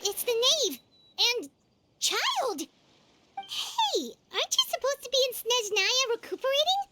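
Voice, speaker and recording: A woman voicing a small, childlike, chatty female fairy companion in a video game, squeaky and bubbly. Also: big pitch swings, takes it slowly, very noisy, exaggerated voice. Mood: surprised, curious. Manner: bubbly, speaks of herself in third person. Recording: studio voice under playful tinkling music.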